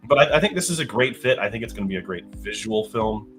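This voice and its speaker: A man's voice: on the low side, lively intonation, fast talker, background noise. A young man with a congested, sinus-clogged voice, casually chatting movie news as a podcast co-host with lots of fillers and restarts. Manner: rambling, many fillers and restarts.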